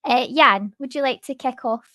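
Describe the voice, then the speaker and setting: A woman moderates an online question and answer session. Her voice sits a little high and the sound is dry. She phrases things informally, with some hesitation. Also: normal speed, bright, forceful tone, no echo. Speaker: host, university staff moderator. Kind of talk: hosting an online student Q and A panel. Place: online video call.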